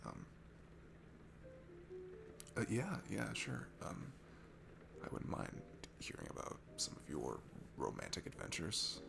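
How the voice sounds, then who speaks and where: A man's voice: low-pitched, wildly swooping pitch, slow pace, gravelly, pauses often, music in the background, exaggerated voice. A young man playing a shy, nervous, sweet guy at a bar in an ASMR roleplay, talking in a hesitant, rambling, self-deprecating way. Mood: shy, soft. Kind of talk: ASMR roleplay, one-sided intimate conversation.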